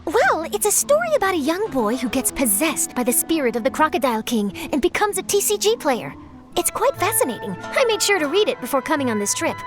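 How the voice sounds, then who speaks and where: A woman's voice: high-pitched, big pitch swings, fairly quick. A woman voicing an eager, polite young female journalist in a video game, chatty and earnest. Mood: enthusiastic. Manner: bright-eyed, chatty, earnest. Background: game music.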